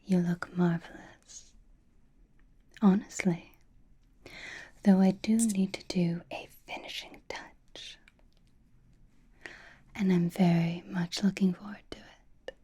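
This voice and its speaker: Breathy whispering vampire played by a woman. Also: fairly low pitch, fairly even intonation. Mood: teasing, attentive. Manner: intimate, slow whispering with sighs and soft hums. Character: flirtatious, possessive vampire companion, teasing and reassuring. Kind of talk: whispered ASMR roleplay.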